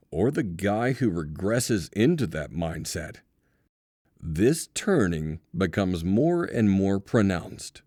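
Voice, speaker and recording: Male narrator, low-pitched and unhurried, for scripted nonfiction audiobook; deliberate emphasis, dry studio sound. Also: a little mellow, no room sound. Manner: deliberate, dramatic pauses and emphasis.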